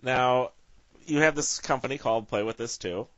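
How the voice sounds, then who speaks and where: A man's voice: medium pitch, moderate pace, no room sound. A man asking casual questions as an interviewer, on a slightly dull, lo-fi recording.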